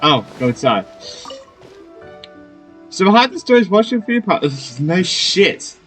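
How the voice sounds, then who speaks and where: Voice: mid-range voice, sing-song, normal speed, fairly bright tone. A gamer giving casual, informal playthrough commentary and reading dialogue aloud, reacting as the game goes. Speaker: gamer playing through a story quest. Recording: game music behind the voice. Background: game music and dialogue.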